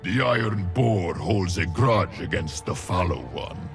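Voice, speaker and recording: Game casting: gruff spiritual warrior shaman, gravelly, grave and resolute, weighty delivery. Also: low voice, lively intonation, faint music behind, exaggerated voice. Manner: solemn, weighty, deliberate delivery. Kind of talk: video game character acting.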